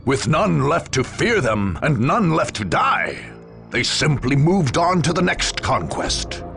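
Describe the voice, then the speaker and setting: A solemn chronicler of grim war, narrates a game with dramatic weight. The gravelly voice moves widely in pitch, deliberate and ominous, over faint music. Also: male voice, very animated, fairly bright tone, full character voice.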